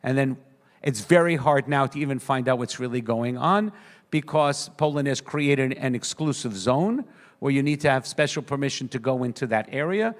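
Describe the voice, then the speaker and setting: A man from the United States with a New York accent speaks as a rabbi on a panel. He talks earnestly and in measured phrases, pausing and restarting often, with a mid-range voice. The sound carries a long reverberant tail. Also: medium pitch. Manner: earnest, measured, with many hesitations. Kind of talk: conference speech.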